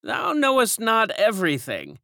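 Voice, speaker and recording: A woman voicing an old servant woman who tells what she knows in a brisk, matter-of-fact way, a little higher than her own voice. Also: wildly swooping pitch, fairly bright tone, no echo, studio quality, exaggerated voice. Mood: knowing. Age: older. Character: old servant woman, knowing and sure of herself.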